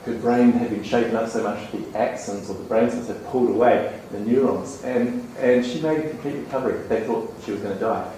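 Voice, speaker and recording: A man presenting at a conference to a room of educators, talking in a chatty, animated way and checking in with the audience with quick "okay?" and "right?" tags. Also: lowish voice. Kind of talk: live conference talk to educators. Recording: echoey conference room, faint ambient noise.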